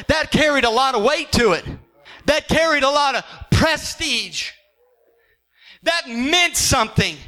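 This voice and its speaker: Guy preaching, really high voice, shouts n sings his lines, bright and sharp. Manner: preaching with rising shouts and sung phrases. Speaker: pastor. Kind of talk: sermon.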